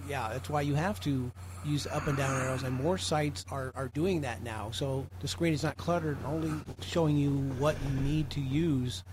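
A man's voice: mid-range voice, steady tone, moderate pace, hardly any pauses, noisy background. A man casually explaining tech steps in an instructive way on a group call. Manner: instructive, step-by-step. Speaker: call participant giving tech advice.

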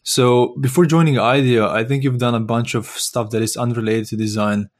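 A man's voice: mid-range voice, fairly even intonation, normal speed. A man hosting a podcast about design, introducing the episode and asking questions in a friendly, slightly hesitant way. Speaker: podcast host.

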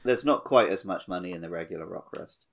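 A British man co-hosting a gaming podcast, chatting casually and riffing on jokes in an animated way. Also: low-pitched, fast. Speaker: podcast co-host.

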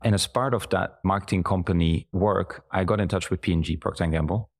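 A man, speaking in a measured, thoughtful way as an interview guest. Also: sits low. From German-speaking Belgium. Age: 40s. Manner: measured, thoughtful, listing examples at length. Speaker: guest, brand executive. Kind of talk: reflective conversational interview.

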